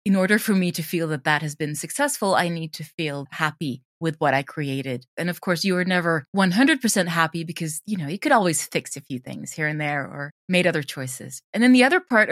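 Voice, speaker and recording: A woman's voice: low voice, lively intonation, normal speed, few pauses, a bit bright, no room sound, studio quality. A woman speaking as an interview guest about her craft, informal and emphatic in a conversational way. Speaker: guest, audio drama creator.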